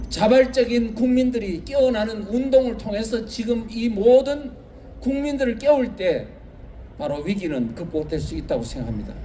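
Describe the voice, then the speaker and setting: High-pitched man with mellow voice, rallying. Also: slight background noise. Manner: rhetorical questions, urgent and forceful argument. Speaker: professor, rally panelist. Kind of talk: impassioned rally speech. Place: outdoor street rally.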